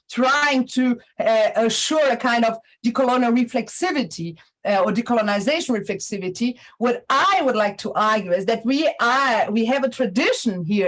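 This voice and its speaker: A woman with a Brazilian Portuguese accent, a native Portuguese speaker giving an academic lecture in a university hall, speaking haltingly with many restarts and fillers. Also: fairly high pitch. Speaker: conference lecturer.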